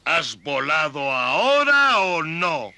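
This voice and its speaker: A man's voice: on the high side, very animated, takes it slowly, bright, forceful tone, slight background noise, heavily acted. A man voicing a pompous, strict ant colonel in a dubbed children's cartoon, speaking formally and with command. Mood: stern, self-important. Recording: music behind the voice.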